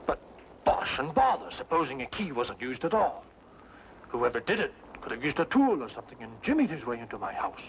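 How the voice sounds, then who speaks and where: An actor playing a fussy, flustered, indignant English gentleman in an old-time radio drama, with a British accent and a prim, sputtering delivery. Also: mid-range voice, big pitch swings, fairly quick. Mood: puzzled, eager. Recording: dull, noisy old radio recording.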